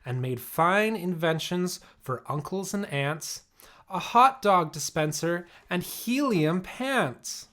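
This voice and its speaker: A man reading a rhyming children's picture book aloud in an expressive, measured way. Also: high-pitched. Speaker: story reader.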